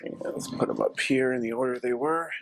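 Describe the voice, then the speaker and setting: A man's voice: mid-range voice, fairly quick. A middle-aged man in a casual, unassuming hands-on tutorial, thinking aloud as he works. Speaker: host of a DIY repair vlog. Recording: steady machine noise in background, 3D printer. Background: 3D printer running.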